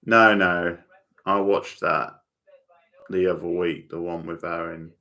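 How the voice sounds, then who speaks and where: A man's voice: deep voice, fairly even intonation, slow, sharp tone. A man hosting a livestream watchalong, giving casual commentary and chatting with viewers. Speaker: livestream host.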